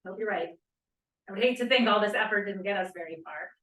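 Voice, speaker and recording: A woman's voice: mid-range voice, fast talker, a bit bright, natural voice. A woman acting a wistful, doubting young feminist in a script read-through, musing and earnest. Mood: worried, doubting. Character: wistful, doubting young feminist who quotes her grandmother. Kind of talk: table read of a play script. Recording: echoey room, distant microphone.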